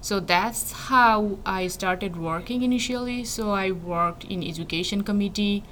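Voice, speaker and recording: A woman's voice: medium pitch, a little slow, fairly bright tone, poor audio. A young woman from Bangladesh, a plant breeding PhD student, answering interview questions thoughtfully with many fillers and restarts. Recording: faint background noise.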